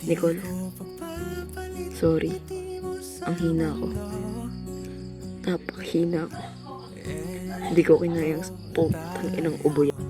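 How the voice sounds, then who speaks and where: A woman's voice: deep voice, flat delivery, slow pace, long pauses. A woman playing an ambitious, regretful young man in an amateur student radio drama. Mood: remorseful. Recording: background music laid over dialogue.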